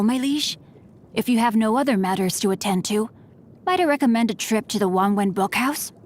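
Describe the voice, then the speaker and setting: A woman voicing a refined, courteous young male swordsman and scholar in a game, speaking politely and formally with a gently teasing edge. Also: medium pitch, lightly acted. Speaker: game character voice lines. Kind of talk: scripted game voice lines.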